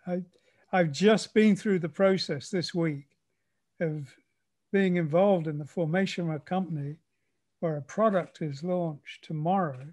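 An older man with a notably high voice talks in an informal conversation. He lays out his points in short, measured phrases, leaning on key words for emphasis. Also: darkish tone. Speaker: conversation partner. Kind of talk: casual conversation.

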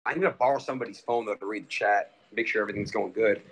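A man's voice: on the low side, rapid-fire, a little hiss or hum. A young man in his twenties livestreaming from a bedroom, talking restlessly to his chat and friends with lots of swearing. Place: bedroom in a house.

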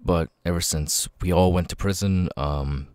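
A man playing a nervous, grateful young outcast with vocal tics in an ASMR roleplay, speaking haltingly and repeating words. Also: a little mellow, clean tone, no room sound, clean studio sound, lightly acted. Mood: hesitant, weary. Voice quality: very deep voice with stuttering, sudden high-pitched tic outbursts.

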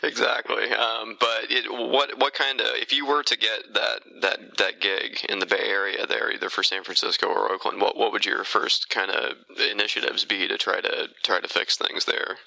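Man, podcast host, low pitch, gravelly fry, casual questioning, halting phrasing. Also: little pitch movement, sharp tone, no echo, poor audio.

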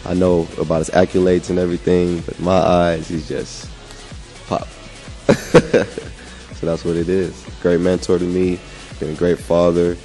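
A young man from the United States giving relaxed, easy interview answers into a handheld mic indoors. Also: low-pitched, unhurried, soft background music. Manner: laid-back, laughs easily, warm-hearted talk. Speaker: interviewee, coach's son. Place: indoor room. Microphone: handheld mic held in front.

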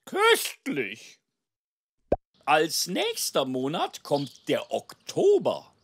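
An actor voicing a clever, pompous male gander who teaches in a drawn-out, didactic way in a children's cartoon. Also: medium pitch, wildly swooping pitch, a little hiss or hum, exaggerated voice. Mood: instructive.

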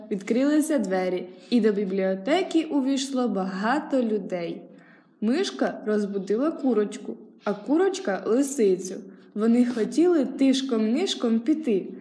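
A woman's voice: on the high side, dark tone. A young woman reading a children's story aloud as narrator, from a script. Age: young adult. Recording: roomy indoor echo.

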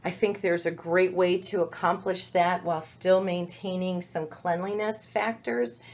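A woman's voice: fairly low pitch, fairly even intonation, measured pace. Chatty woman presenting, dull lo-fi audio. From United States. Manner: conversational, with frequent fillers and restarts. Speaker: presenter of a virtual training. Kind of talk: webinar presentation over slides.

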